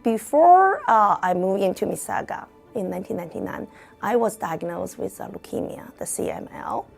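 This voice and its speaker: A woman's voice: mid-range voice, lively intonation, moderate pace, gravelly, faint music behind. A woman, giving a personal testimonial. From outside Canada, moved there as an adult. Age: 50s. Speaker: insurance agency owner.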